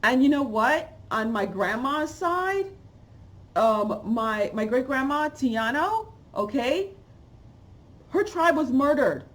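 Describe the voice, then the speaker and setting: A fired-up woman rants into a close webcam at home. Her voice sits fairly high, jumping from loud outbursts down to low, muttered asides. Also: takes it slowly. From Haiti, raised in New York. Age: 40s. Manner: animated, swings from loud exclamations to low asides. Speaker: channel host. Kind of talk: opinionated vlog rant. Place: home room with bookshelves, indoors. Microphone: webcam at close range.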